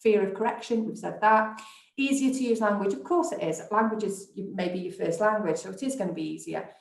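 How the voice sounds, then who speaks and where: A woman's voice: mid-range voice, fairly quick, creaky. A woman presenting a training webinar, talking in a reassuring, encouraging way. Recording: echoey room.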